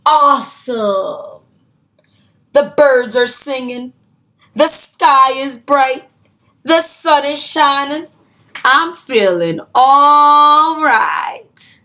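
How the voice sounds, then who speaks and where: A storybook squirrel played by a woman with a high voice. The words come very slowly, stretched out and exaggerated for young children. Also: lively intonation, bright, forceful tone, heavily acted. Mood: delighted.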